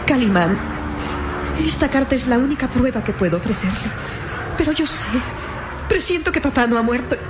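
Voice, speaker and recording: A rather high female voice from an actress plays a young, anxious heroine in an old radio adventure serial. She is earnest and emotive, pleading like a melodrama lead. Clear engine-like noise sits behind her. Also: expressive, normal speed, long pauses, lightly acted. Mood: insistent, hopeful. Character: young, anxious, earnest daughter seeking her missing father.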